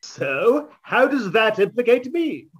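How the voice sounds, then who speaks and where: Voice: mid-range voice, sing-song, takes it slowly, slightly rough, dull audio, exaggerated voice. An actor playing a defensive, melodramatic murder suspect, theatrical and indignant, in an old-time radio mystery parody. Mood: evasive, dismissive. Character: defensive, melodramatic party guest, secretly a murderer.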